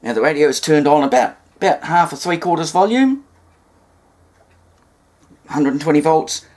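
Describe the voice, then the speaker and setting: A man's voice: fairly high pitch, expressive, moderate pace, a little mellow. Man giving an informal hands-on demonstration. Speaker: hobbyist presenter demonstrating radio repair.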